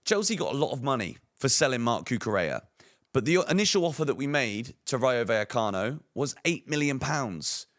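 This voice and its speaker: A man with a croaky, hoarse voice, giving opinionated football transfer news commentary on a fan channel, full of emphatic rhetorical questions. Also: mid-range voice, very animated, fairly quick, fairly bright tone.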